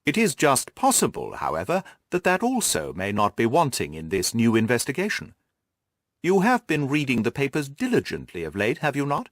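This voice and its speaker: A man voicing a shrewd, self-assured male detective in an audiobook, speaking in a precise, formal, animated manner. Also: medium pitch, normal speed, no echo, clean studio sound. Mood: brisk, assured. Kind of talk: classic detective audiobook dialogue.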